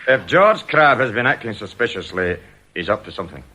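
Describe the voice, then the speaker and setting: An older actor playing a sardonic retired police detective in a radio drama, with a jovially menacing, mocking manner. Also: male voice, on the low side, lively intonation, fairly quick, bright, forceful tone, slightly rough, exaggerated voice. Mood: wry, suspicious. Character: sardonic retired police detective, now company security officer.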